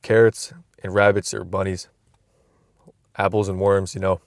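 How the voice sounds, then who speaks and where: A man's voice: low-pitched, little pitch movement, a little slow, gravelly, no echo, clean studio sound. A young man vlogging from inside a car, musing aloud in a loose, rambling way with frequent restarts, recorded on a phone camera. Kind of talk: casual rambling vlog.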